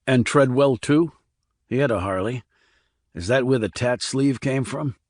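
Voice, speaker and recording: A man's voice: mid-range voice, unhurried, a little mellow, dry recording, clean studio sound, close to an everyday voice. A man voicing a blunt, skeptical military investigator with a terse, sardonic manner in audiobook dialogue. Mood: terse, probing.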